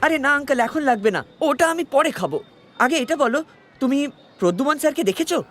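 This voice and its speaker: A man voicing an excitable, slightly bumbling detective sidekick in a cartoon, chatty and full of exclamations. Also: very high-pitched, fast talker, slight background noise, full character voice. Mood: eager. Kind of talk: animated cartoon dialogue.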